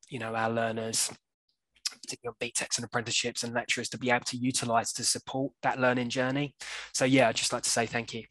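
A man's voice: mid-range voice, a bit bright, no echo, rough recording. A man from Wales with an English accent, hosting a webinar interview and asking earnest, wordy questions. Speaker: webinar host. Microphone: video call.

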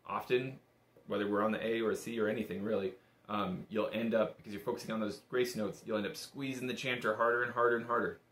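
Youngish guy with a lowish voice, patient casual instructor walking you through it, roomy echo. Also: fairly even intonation, fast, fairly bright tone. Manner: encouraging, informal, patient. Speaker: bagpipe teacher. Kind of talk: casual step-by-step instrument lesson. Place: small indoor room. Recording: echoey small room.

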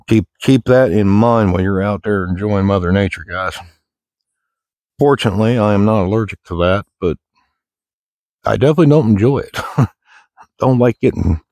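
Middle-aged American man, low voice, folksy and rambling outdoor vlogger, dry open-air sound. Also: a little mellow, dry recording. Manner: folksy, plain-spoken, rambling. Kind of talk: casual walk-and-talk chat. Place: outdoors in the woods, walking.

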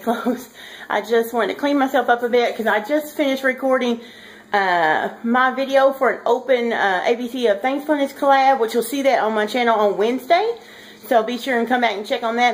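A woman in her thirties chats casually and ramblingly to her viewers as a home cooking vlogger, recorded indoors on a handheld device. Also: a little high. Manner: chatty, rambling, addressing viewers informally. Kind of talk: casual vlog chat to viewers. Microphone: handheld camera or phone at arm's length.

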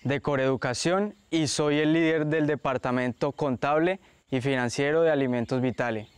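A man's voice: mid-range voice, normal speed, smooth, dry recording. A man in his late teens introducing himself to camera. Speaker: accounting leader of a small food business. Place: outdoors by a stone wall.

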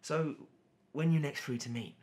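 A man's voice: fairly high pitch, normal speed, a little mellow, lightly acted. A man playing an obsessive, lovelorn artist in an audio drama, speaking hesitantly and with awkward politeness. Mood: awkward, polite. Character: nervous, obsessive lovelorn male artist narrating his longing. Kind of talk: dramatic monologue and phone dialogue.

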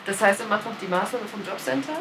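Quick, probing woman interviewer. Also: medium pitch, music in the background. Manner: direct, probing questions. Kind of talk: off-camera interview questions.

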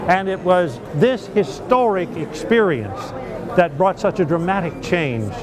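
A man's voice: sits high, animated, measured pace, crowd noise. An older man hosting a travel documentary outdoors, speaking with fervent, sermon-like enthusiasm. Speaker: host. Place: outdoors on a hillside and a harbor pier.

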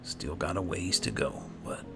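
A man doing roleplay gameplay commentary, musing to himself in a halting way. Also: sits low. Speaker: gaming host, player. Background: game music.

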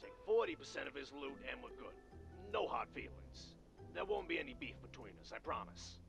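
A man voicing a scheming, foul-mouthed elderly rabbit ex-salesman with a gravelly voice in a cartoon video game. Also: mid-range voice, wildly swooping pitch, fairly quick, music in the background, full character voice. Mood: placating.

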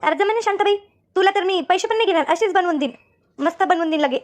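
A woman's voice: extremely high pitch, fast talker. A woman voicing an obliging, talkative village friend in a comedy cartoon, chatty and assured, with a Maharashtrian accent. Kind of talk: Marathi comedy cartoon dialogue.

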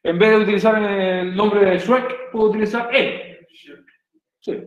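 A man's voice: high voice, steady tone, measured pace, roomy sound, dull audio. A man from Chile teaching an English class online in Chilean Spanish, chatty and jokey, often checking in with his students. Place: home room on a video call.

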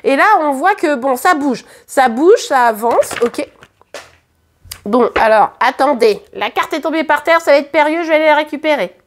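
A hoarse woman with a French accent, doing a casual tarot reading to camera in a chatty, hesitant way with many fillers and restarts. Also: high voice, animated, normal speed. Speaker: tarot card reader. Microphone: camera at the reading table.

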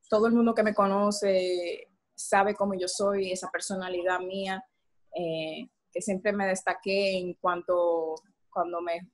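Chatty young woman, Dominican accent. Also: mid-range voice. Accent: Dominican Spanish. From Switzerland. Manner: chatty, many fillers and restarts. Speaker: singer guest. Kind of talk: video call interview. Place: home room indoors. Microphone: video call.

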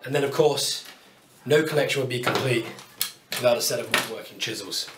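A British man in his thirties talks through his tools in a chatty, upbeat way, with wry asides about his cheap kit. His voice sits at a middling pitch. The workshop is roomy and echoes around him, and he stands some way from the camera mic. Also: fairly quick, distant mic, heavy background noise. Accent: British English. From United Kingdom. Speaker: woodworking YouTuber. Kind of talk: casual tool walkthrough to camera. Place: small home workshop, indoors. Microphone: camera mic at a distance.

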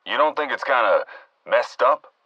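A man's voice: medium pitch, lively intonation, moderate pace, dry recording, muffled recording. A man playing an uneasy, doubting American henchman in a video game, heard through a radio-like filter. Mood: uneasy, defensive.

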